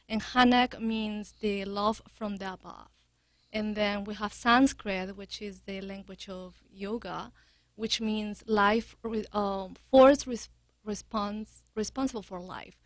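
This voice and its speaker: A woman from Peru with a Peruvian Spanish accent, talking earnestly as a yoga studio director, with many halting restarts. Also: mid-range voice, steady tone, no echo. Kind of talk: casual interview chat.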